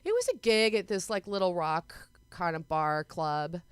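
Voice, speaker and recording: A woman composer chatting as a guest on a talk show, telling lively stories with quick asides. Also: dry recording. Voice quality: smooth, very low singing voice. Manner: animated storyteller, quick asides. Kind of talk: casual interview chat.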